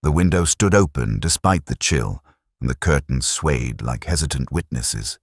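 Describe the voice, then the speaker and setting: A man narrating a scripted first-person detective story in a measured, literary, world-weary manner. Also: rumbling low, expressive, slightly rough, no room sound, studio quality.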